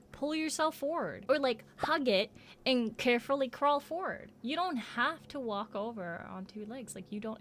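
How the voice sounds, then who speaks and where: A woman's voice: fairly high pitch, fairly bright tone. A woman streamer giving casual, informal reaction commentary with a wry, joking manner. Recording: noisy, film audio behind voice. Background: sound of the horror video being watched.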